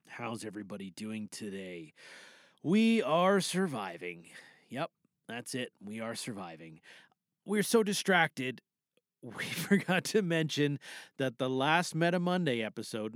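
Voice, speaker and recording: A man, chatting as a podcast host in an animated, jokey manner. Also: mid-range voice, dry recording, studio quality.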